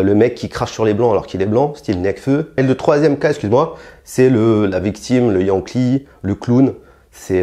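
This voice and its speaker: Blunt french guy in an interview, lowish dark voice, keeps restarting his sentences. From France. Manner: blunt, provocative, many restarts and fillers; rises in pitch and force when heated. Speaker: interviewed rapper. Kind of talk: casual interview answers.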